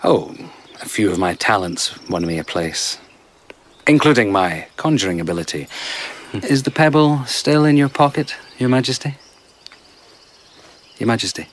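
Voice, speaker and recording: An actor playing a charming male conjurer and traveller in a radio drama, telling tales in a hushed, showman-like way. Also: fairly low pitch, expressive, moderate pace, long pauses, slight background noise, natural voice. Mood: theatrical.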